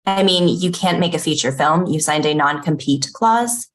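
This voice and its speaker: A woman's voice: sits low, animated, normal speed. A woman playing a controlling, self-important ad agency boss in a comedy table read, curt and commanding with a touch of pomposity. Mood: stern.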